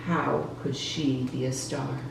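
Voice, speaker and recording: Slow, low-voiced woman reading a children's story. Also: little pitch movement, creaky. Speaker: children's storybook reader for a church Sunday school. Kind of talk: scripted storybook narration for children. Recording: roomy echo, distant mic, faint noise.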